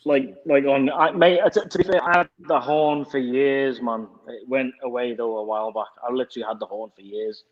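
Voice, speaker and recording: A man's voice: medium pitch, fairly even intonation, brisk, few pauses, long reverb tail, dull audio. A man chatting casually and informally. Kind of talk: casual chat.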